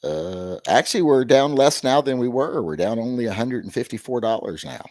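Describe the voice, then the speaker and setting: A middle-aged man with a hoarse, gravelly voice hosting a rambling live-stream chat with wry, self-deprecating asides. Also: medium pitch, animated, normal speed, a little mellow, dry recording, clean studio sound. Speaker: host.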